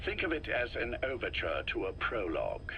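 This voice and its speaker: A man playing a calm, calculating leader of a secret organization in a video game, speaking in a measured, commanding way. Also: fairly low pitch, gravelly, heavily acted. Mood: cool, commanding. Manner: measured, deliberate, cool and commanding. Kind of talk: dramatic video game voice acting. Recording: faint noise, recorded tape audio.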